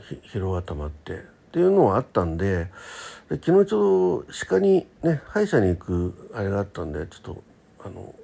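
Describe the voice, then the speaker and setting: Guy with a really dark husky voice, chatting solo on his radio show, kinda rambly, pitch moves around a lot. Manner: halting, rambling, self-correcting. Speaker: internet radio host. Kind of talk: casual solo chat.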